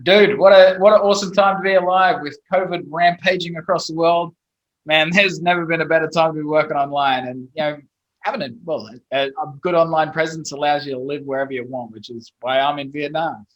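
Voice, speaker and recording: Brief: Australian man, 30s, plain-spoken interview guest, slightly raised pitch, punchy delivery. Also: steady tone, brisk, muffled recording. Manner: blunt, punchy, swears casually. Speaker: guest, SEO expert. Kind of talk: casual interview chat.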